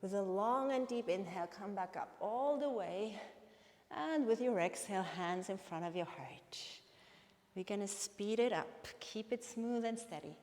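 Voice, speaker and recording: A woman's voice: mid-range voice, animated, measured pace, darkish tone. Swiss woman teaching yoga in a reverberant room. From Switzerland. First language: Bernese Swiss German. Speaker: yoga instructor. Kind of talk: live guided yoga class instruction. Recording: long reverberant hall-like echo.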